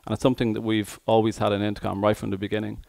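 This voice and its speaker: Low-pitched, flat-toned man with a gravelly creak. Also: racing, dry recording. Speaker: conference speaker, software engineer. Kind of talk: tech conference presentation.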